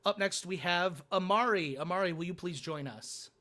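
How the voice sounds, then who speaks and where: A man with a high-pitched voice and an American accent. He speaks as a game show host, presenting and introducing players. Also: normal speed, bright, forceful tone. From Michigan, United States. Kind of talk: scripted show hosting, introductions.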